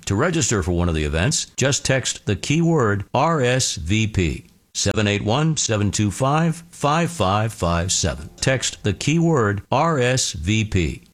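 A man reading a radio advertisement in a smooth promotional delivery. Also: fairly low pitch, sing-song, measured pace, few pauses. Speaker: announcer. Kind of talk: radio ad read.

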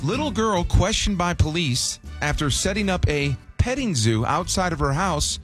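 Man, radio host, upbeat, joking, fairly high voice, music bed clearly audible. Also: lively intonation. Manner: upbeat, joking, quick asides. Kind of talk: morning radio banter.

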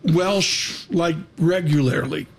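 A man hosting a live business show, chatting in a rambling, animated way. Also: fairly high pitch, slow, vocal fry. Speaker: host.

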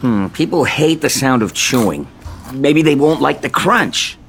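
An actor playing a nervous male candy mascot in an animated ad, worried and jumpy. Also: medium pitch, a little slow, a little hiss or hum.

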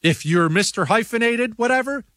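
A man's voice: very high-pitched, animated, moderate pace, a bit bright, no room sound, studio quality. A male talk show host answering listener emails in a blunt, opinionated, combative way, often rambling and restarting his sentences.

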